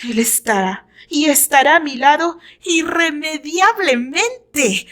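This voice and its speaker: Woman acting a frail, defiant blonde, slowly taunting. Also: sits high, animated, sharp tone, voice pushed up, full character voice. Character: frail blonde rival, sickly, bitter, defiant woman singer.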